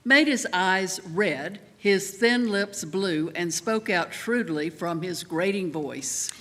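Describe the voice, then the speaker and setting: A woman giving a deliberate, measured theatrical narration of a story. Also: on the low side, fairly bright tone. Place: large church interior. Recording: reverberant hall.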